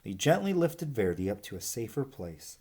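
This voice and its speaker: A man's voice: mid-range voice, sing-song, normal speed, mellow, slightly rough. A man narrating a children's picture book expressively as a read-aloud storyteller.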